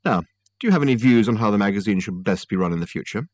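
A man voicing a brisk upper-class mother in an audiobook, sweetly condescending and ruthless. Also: fairly low pitch, expressive, a little mellow, dry recording. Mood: sweet, dismissive.

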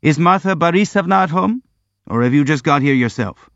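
Blustering elderly general, grand and self-pitying, raised pitch, dry and muffled recording; male reader voicing. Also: expressive, a little fast, fairly bright tone, dry recording. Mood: pompous. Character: elderly blustering boastful army general, pompous.

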